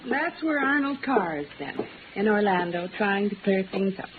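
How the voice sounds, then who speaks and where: An actress playing a poised, guarded wife in a 1950s radio drama. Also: female voice, mid-range voice, animated, moderate pace, natural voice. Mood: skeptical, insistent. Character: poised, guarded, petite wife, a former singer. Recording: tinny, noisy vintage radio recording.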